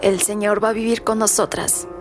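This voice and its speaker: A woman playing a frail, commanding old widow in a radio drama, speaking formally and tersely with a creaky voice. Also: mid-range voice, level tone, moderate pace, natural voice. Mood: firm, cryptic. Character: frail, imperious old widow, cryptic and insistent. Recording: loud music underneath. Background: music.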